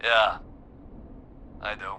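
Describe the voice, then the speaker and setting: An actor playing a wounded, guilt-ridden small-town man in a video game, weary and halting as he confesses over a call. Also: fairly low pitch, little pitch movement, sharp tone, a little hiss or hum, exaggerated voice. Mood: concerned, relieved. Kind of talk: dramatic video game voice acting.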